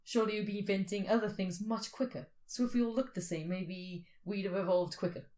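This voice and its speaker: A woman's voice: mid-range voice, a little fast, darkish tone. A woman chatting casually and playfully as a podcast co-host, thinking aloud in an informal way. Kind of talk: casual speculative chat.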